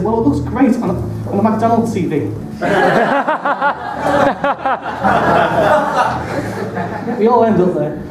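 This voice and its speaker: An eighteen-year-old man doing a stand-up set, who stutters and repeats words, nervous and self-mocking, chuckling at his own jokes. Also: high voice. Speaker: stand-up comedian. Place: small dark club stage. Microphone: handheld mic, filmed from far in the room. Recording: echoey club room taped from far off, loud room noise.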